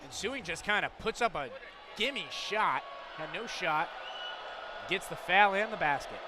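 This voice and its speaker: High-voiced American male color commentator. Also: expressive, unhurried, over a noisy crowd. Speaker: radio basketball color commentator. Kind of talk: live sports analysis.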